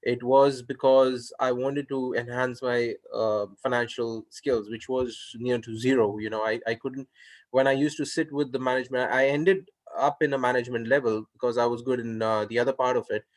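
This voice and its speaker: A man's voice: medium pitch, flat delivery, normal speed. Hesitant man from India. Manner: hesitant, many restarts and fillers. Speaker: interviewer. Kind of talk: casual video call conversation.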